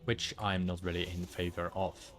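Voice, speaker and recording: Low-voiced Dutch man narrating gameplay. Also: level tone, a bit bright, faint music behind. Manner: halting, many fillers, searches for words. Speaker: streamer. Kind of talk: casual gameplay commentary.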